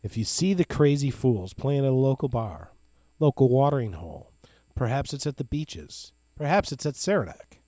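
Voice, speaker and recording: A man's voice: medium pitch, sing-song, normal speed, dark tone, dry recording. A man, co-hosting a casual sports podcast. He talks with hearty enthusiasm and a hype-man flair. The sound is close and dry, with a faint hum under it. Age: 30s. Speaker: podcast co-host.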